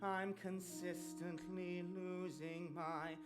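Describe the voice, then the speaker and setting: A woman's voice: lowish voice, flat delivery, slow, music in the background. A woman playing a naive, lovestruck young bridegroom in a stage musical comedy, earnest and old-fashioned in manner. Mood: dreamy. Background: loud musical accompaniment.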